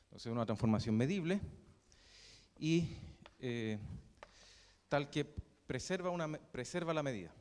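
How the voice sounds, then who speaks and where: A young man with a Chilean Spanish accent teaches a math class at a middling pitch, pausing often on fillers and restarting his sentences. The recording carries the long reverb of a lecture hall. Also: a little mellow. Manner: halting, with many fillers and restarts.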